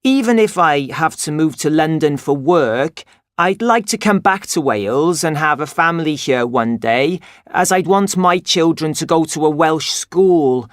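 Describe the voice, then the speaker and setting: A man playing a young man from North Wales, who speaks in a measured, firm scripted monologue. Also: a little high, lively intonation, no echo, clean studio sound.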